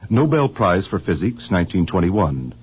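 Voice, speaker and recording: A man's voice: deep voice, big pitch swings, moderate pace, slight background noise. An actor playing a cold, tough male security agent with a clipped, officious delivery, in 1950s radio drama style. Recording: dull old radio recording.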